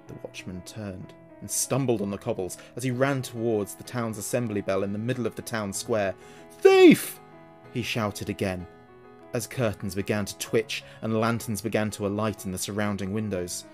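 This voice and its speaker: A man telling a horror story as narrator, in a dramatic scripted style. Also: lowish voice.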